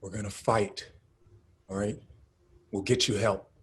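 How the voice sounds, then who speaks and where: A man acts out a grim, sorrowful male activist in a screenplay read. The voice sits low and has a husky grain. The delivery is halting, earnest and understated. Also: fairly even intonation. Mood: subdued, tender. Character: Gus, grim, sad male activist planning an escape. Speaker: actor. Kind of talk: dramatic screenplay table read.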